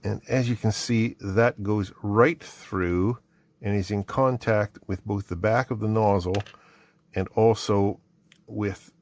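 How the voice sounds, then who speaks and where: A man hosting a casual mail-opening video, reading labels aloud, thinking out loud and patiently explaining technical parts. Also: lowish voice, fairly even intonation, slow. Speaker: channel host.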